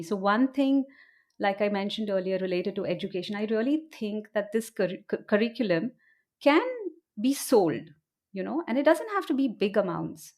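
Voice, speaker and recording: A woman's voice: medium pitch, darkish tone. A woman from India with an Indian accent, answering interview questions as an audio producer in a conversational, explanatory way, often adding "you know".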